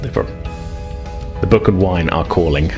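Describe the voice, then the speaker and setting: A man's voice: low voice, moderate pace, over music. A man narrating a tabletop role-playing game as game master, in a hesitant, improvised, conversational way.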